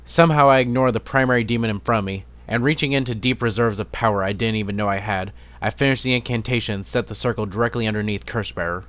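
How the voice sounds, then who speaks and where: A man with a fairly low, smooth voice reads a fantasy story aloud as an amateur narrator. It is a scripted dramatic reading, recorded dry with no room sound. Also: fast, few pauses, a bit bright, no echo.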